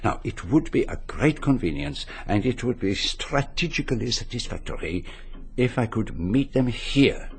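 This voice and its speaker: An actor playing a courtly, shrewd Belgian detective in a radio mystery, with a French accent and formal, deliberate phrasing. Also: male voice, medium pitch, sing-song, breathy edge, heavily acted. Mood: measured, shrewd. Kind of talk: radio mystery drama acting with narration.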